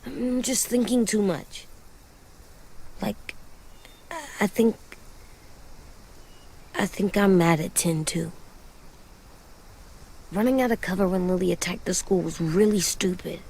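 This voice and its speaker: A woman playing an earnest, brooding young boy survivor in a video game drama, speaking haltingly in plain words with a childlike, husky voice. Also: mid-range voice, mellow, low-quality recording. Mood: frustrated. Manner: halting, slow, plain-spoken.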